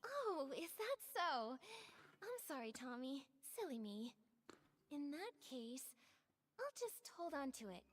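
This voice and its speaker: An actress playing a sweet but firm young heroine in a video game, gently confronting others in an earnest way. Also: female voice, high voice, sing-song, takes it slowly, exaggerated voice. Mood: teasing, accusing. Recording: reverberant game audio with music behind. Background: music.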